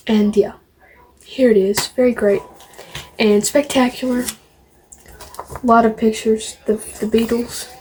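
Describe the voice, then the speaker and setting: A woman's voice: on the high side, a little mellow, slight background noise, rough recording. A woman vlogging casually to camera, showing off a collection in a rambling, opinionated way and repeating phrases. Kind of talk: casual show-and-tell to camera.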